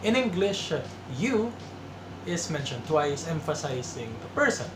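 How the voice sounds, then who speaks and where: Young Filipino male songwriter, reflective and casual, lightly high voice, roomy echo, guitar underneath. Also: big pitch swings, unhurried, noisy background. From Eastern Visayas, Philippines. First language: Waray-Waray. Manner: reflective, explains with sung examples. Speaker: singer-songwriter. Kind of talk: casual talk. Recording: echoey room with guitar music.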